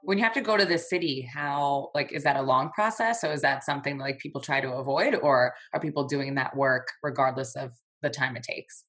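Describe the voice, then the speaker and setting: A woman's voice: a bass voice, fairly quick, few pauses, a bit bright. A woman hosting a casual interview, asking questions informally with plenty of "like". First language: English. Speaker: interviewer, host.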